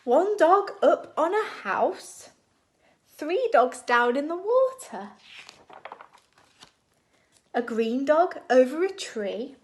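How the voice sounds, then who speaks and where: A woman reading a picture book aloud to young children in an expressive, deliberate storytime style. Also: high-pitched. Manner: expressive, animated, deliberate phrasing for small children.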